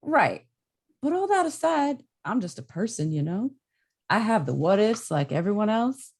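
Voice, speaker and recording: A woman's voice: medium pitch, big pitch swings, moderate pace, a little mellow. A woman playing an earnest, self-doubting male superhero in a conversational script table read.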